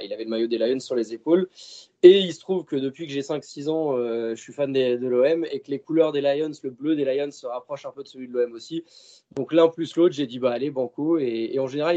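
Mellow-voiced man on a lo-fi podcast line. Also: mid-range voice. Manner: chatty, rambling, many fillers and restarts. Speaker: podcast guest. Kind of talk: casual podcast chat. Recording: dull lo-fi sound.

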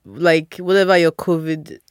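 A woman's voice: sits low, fairly even intonation, a little slow, smooth, no room sound. A woman in her twenties co-hosting a podcast, chatting in an easygoing way with lots of fillers. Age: 20s. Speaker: podcast co-host. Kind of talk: casual chat.

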